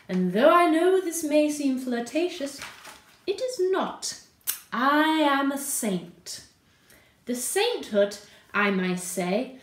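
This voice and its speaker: A woman plays an eccentric old lady with a high voice. She tells her story grandly and vainly, drawing out words with theatrical deliberation. The room adds clear echo. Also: distant mic, slight background noise, heavily acted. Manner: theatrical, deliberate, drawn-out delivery. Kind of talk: dramatic reading of a short story. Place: indoor room at home. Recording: roomy home sound.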